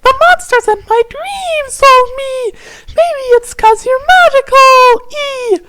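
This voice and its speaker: Man doing a shrieking, very high fairy girl. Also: heavy background noise. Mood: gushing. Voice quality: piercing falsetto, shrieky. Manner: gushing, melodramatic, drawn-out words. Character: excitable, screeching young fairy girl. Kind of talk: comedic dramatic reading of fan fiction.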